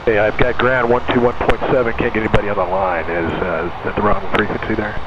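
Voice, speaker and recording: A man's voice: lowish voice, fairly even intonation, fast talker. A man working as a pilot, talking casually to the tower over the radio. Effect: radio transmission. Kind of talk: casual aviation radio talk. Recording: muffled radio, loud engine noise. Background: engine noise.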